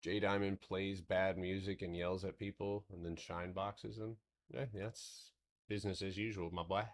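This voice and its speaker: A man with a very deep voice hosts a relaxed livestream recap of the market. His talk is loose and rambling, with casual swearing. He settles into a steady, explanatory tone when he walks through chart levels. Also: normal speed. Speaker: stock trading streamer.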